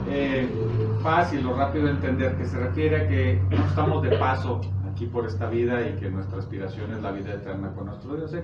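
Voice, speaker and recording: A Mexican man gives an informal sermon, recorded from far off in an echoey room. He explains scripture conversationally and haltingly, often checking in with short questions, over clear background noise. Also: medium pitch, highs cut off. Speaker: preacher. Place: echoey indoor congregation room. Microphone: distant microphone.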